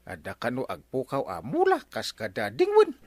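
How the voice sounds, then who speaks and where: An actor playing an older, excitable village captain in an Ilocano comedy radio drama. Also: male voice, mid-range voice, moderate pace, dry recording. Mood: amused.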